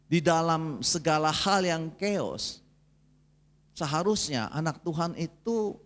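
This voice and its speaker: A man of about seventy, preaching a conversational, folksy sermon and checking in with his congregation. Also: on the high side. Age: 69, nearly 70.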